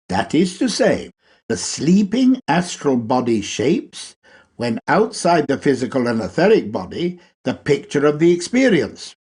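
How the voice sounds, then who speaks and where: Husky, slow-spoken man reading a lecture. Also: on the high side, a little mellow. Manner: deliberate, instructive, emphatic phrasing. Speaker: reader of a lecture. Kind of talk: read-aloud esoteric lecture.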